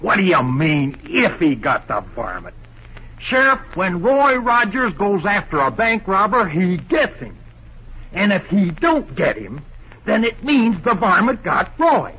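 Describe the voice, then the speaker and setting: A loyal, easily riled western sidekick. His voice is high, forceful and swings wildly as he blusters in folksy slang. It comes from an old radio show, with dull sound and faint hiss. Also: sing-song, unhurried, exaggerated voice. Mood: indignant. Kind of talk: 1950s western radio acting. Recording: muffled, hissy old radio recording.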